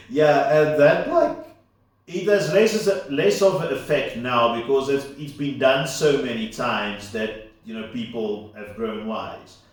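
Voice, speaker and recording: A man's voice: mid-range voice, takes it slowly. A man whose first language is Afrikaans, rambling casually through a solo commentary with lots of fillers and asides. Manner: unscripted, full of fillers and asides. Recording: very echoey room, far from mic.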